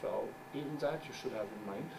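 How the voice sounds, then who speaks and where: Halting non-native man lecturing from afar. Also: fairly low pitch, vocal fry. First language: German. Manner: halting, searches for words, wry asides. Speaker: journal editor giving a talk. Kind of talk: conference presentation. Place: conference room. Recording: distant mic with background noise.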